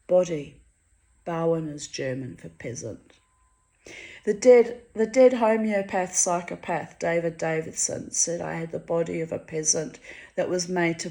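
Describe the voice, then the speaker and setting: A Hungarian-born woman reading her own diary entries aloud, wry and theatrical, her voice swinging high and low for effect. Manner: wry, theatrical, dramatic swings of pitch and loudness.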